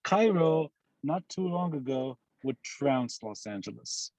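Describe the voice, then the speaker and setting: A man from Lebanon speaking as a guest on a podcast interview, making emphatic, measured points. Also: mid-range voice, animated, a crowd in the background, rough recording. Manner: measured, emphatic analytical speech. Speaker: guest commentator.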